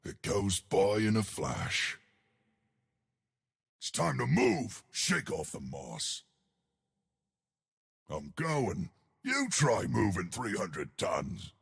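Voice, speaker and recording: Giant ancient stone colossus for a game, super husky n gravelly, swings all over, cheerful brawler. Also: male voice, huge pitch swings, drawn-out words, pauses often, exaggerated voice. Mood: proud, amiable. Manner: hearty, booming, simple-minded bravado. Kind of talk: video game character voice lines.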